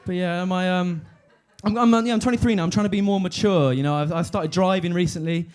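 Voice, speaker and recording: A man in his twenties doing a deadpan stand-up set on a comedy club stage, halting with fillers and restarts. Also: high voice, clear, resonant tone. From London. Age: 20s.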